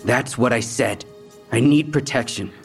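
A wary young street robber over music. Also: male voice, medium pitch, unhurried, creaky. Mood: nervous, defensive. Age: young adult. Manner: slangy, clipped, defensive. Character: wary, defensive young male street robber seeking protection. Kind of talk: audio drama acting. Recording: music bed behind the voice.

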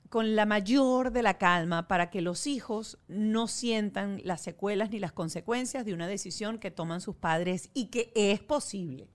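A woman co-hosting a parenting podcast in a casual, upbeat, chatty manner. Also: mid-range voice. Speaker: podcast co-host.

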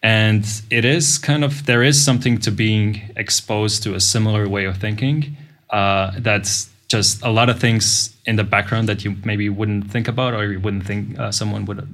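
A middle-aged man, a podcast guest explaining things in a measured way with frequent pauses. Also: on the low side, fairly even intonation, fairly bright tone. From Latvia. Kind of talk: casual interview talk.

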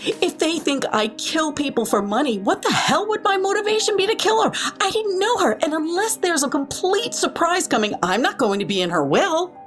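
High-voiced, rattled, defensive woman. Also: very animated, moderate pace, soft background music. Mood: defensive, indignant. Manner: defensive, earnest, emphatic. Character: defensive, rattled professional end-of-life helper woman. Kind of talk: naturalistic audio drama acting.

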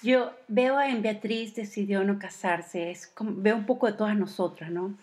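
A woman radio host interviews her guest. She asks long, rambling questions and pauses often to find her words. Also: mid-range voice, steady tone. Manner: warm, rambling questions with hesitations.

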